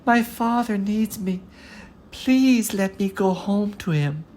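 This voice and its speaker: A man voicing a kind young woman, a devoted daughter in a fairy tale, soft and earnest. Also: high-pitched, takes it slowly, voice pushed up. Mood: pleading.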